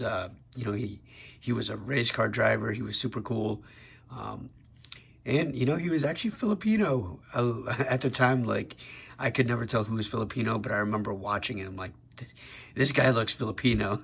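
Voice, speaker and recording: Sick, raspy-voiced young man reviewing toys. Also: mid-range voice, a bit bright. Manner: laid-back, many fillers and restarts. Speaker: toy reviewer. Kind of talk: casual video review.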